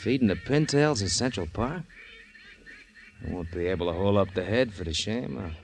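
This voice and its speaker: A man's voice: on the low side, animated, moderate pace, gravelly. An actor playing a cocky, sardonic gangster henchman in 1950s radio crime drama, slangy and teasing with mock-wounded wisecracks. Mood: teasing, mock-sad. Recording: noisy old radio recording, honking ducks.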